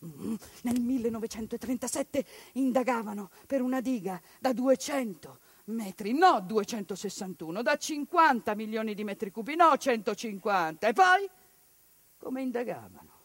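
A woman actress delivering a civic theatre monologue with indignant, declamatory force and pointed rhetorical questions. Her voice sits somewhat high, and the sound is dry with no room echo. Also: expressive, normal speed.

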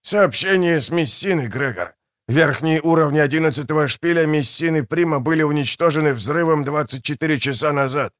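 A man's voice: on the high side, fairly quick, a bit bright, no room sound, studio quality, pitched above the performer's own voice, natural voice. A man voicing an anxious, preoccupied male companion in an audiobook, grave and urgent, half muttering his reports. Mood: urgent, worried. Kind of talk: audiobook character dialogue.

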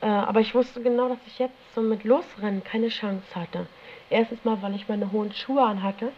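A woman's voice: mid-range voice, fairly even intonation, moderate pace. Teenage female, slangy casual storytelling, dull old tape recording, faint noise. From Germany. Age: teens. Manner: colloquial, slangy, frequent tag questions. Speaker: interviewee recounting own experience. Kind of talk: casual spoken recollection. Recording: dull, noisy 1970s tape.

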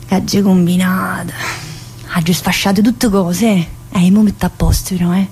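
A woman's voice: lowish voice, fairly even intonation, normal speed, darkish tone, slight background noise, close to an everyday voice. A woman playing a chatty inmate. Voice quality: chatty and meandering, studded with "eh" and direct address. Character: chatty middle-aged female prison inmate, warm-hearted. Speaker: stage actor in a solo play. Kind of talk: theatrical monologue.

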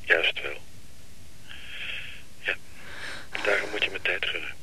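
An actor playing a troubled, evasive man who haltingly and wearily pleads for time in a radio drama. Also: sits low, sharp tone, exaggerated voice. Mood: evasive, urgent. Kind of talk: Dutch radio drama acting. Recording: noisy radio play recording, telephone scene.

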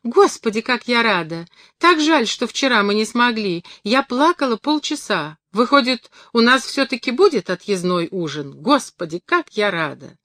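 A woman voicing an excitable, childlike young circus girl in an audiobook, gushing, impulsive and affectionate. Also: fairly high pitch, normal speed, few pauses, no echo, studio quality, voice pushed up. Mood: delighted, excited. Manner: breathless exclamations, affectionate, impulsive.

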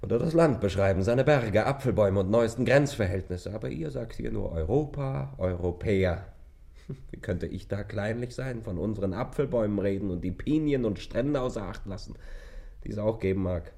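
Radio play lover: cynical, eloquent, mellow dark tone with heavy creak. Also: male voice, lowish voice, lively intonation, normal speed. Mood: ironic, detached. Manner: ironic, eloquent, evasive. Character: world-weary male lover, cynical and evasive. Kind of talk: German radio drama acting.

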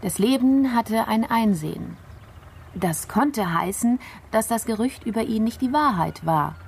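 An actress playing a methodical female investigator in a radio crime play, explaining a case in a measured, explanatory way. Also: a little high, lively intonation, vocal fry, a little mellow, husky, full character voice.

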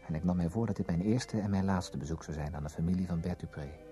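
A man acting in a radio play as a brooding, probing former student who tells his story in a measured, reflective way. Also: low voice, dark tone, soft background music, natural voice. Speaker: narrator and lead character in a radio play. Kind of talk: scripted radio drama, literary first-person narration.

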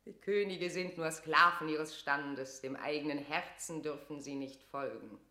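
Proud commanding queen, low voice with a sharp edge, stagey verse, radio play, echoey room. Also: unhurried. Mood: regal, reluctant. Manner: regal, declamatory, commanding. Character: proud, imperious English queen holding court. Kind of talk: classical stage-verse radio drama acting.

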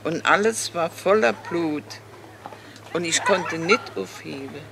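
An actor playing a rustic villager as a witness in a naturalistic radio play, speaking plainly in short colloquial phrases. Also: male voice, on the high side, slow pace, vocal fry, sharp tone, slight background noise, full character voice. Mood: grave. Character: rustic villager recounting a wartime shelling.

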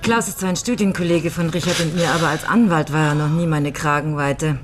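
An actress in a radio crime play playing a shrewd lawyer with a dry, pointed, matter-of-fact manner. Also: female voice, deep voice, steady tone, fairly quick, background noise, natural voice. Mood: dry, sceptical. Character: dry, shrewd woman lawyer with a grown son.

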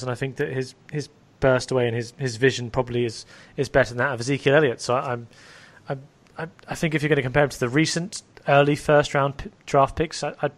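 Eager man from Wales, stammering restarts. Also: medium pitch. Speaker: editor, podcast co-host. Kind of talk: casual podcast chat.

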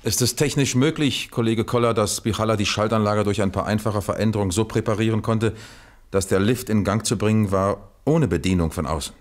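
An actor playing a probing, businesslike East German police captain in a radio crime drama, asking clipped questions. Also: male voice, lowish voice, a little fast, lightly acted. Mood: probing, firm.

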